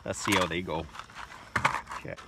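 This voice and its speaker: A man's voice: sits low, fairly even intonation, moderate pace, very noisy. A man in his forties giving a casual, plainspoken how-to demonstration outdoors, filmed on a phone held close, with lots of loose fillers. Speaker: homesteading vlogger. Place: outdoors in a wooded bee yard. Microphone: phone camera held close. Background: crickets and insects.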